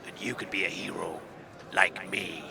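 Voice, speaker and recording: Gravel-throated amphibian swamp diver, low-pitched, solemn, self-styled hero in audio comedy, heard across a crowd. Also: male voice, fairly even intonation. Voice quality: croaky, gravelly. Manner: slow, ceremonious rhyming pronouncements. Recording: distant outdoor voice amid crowd noise.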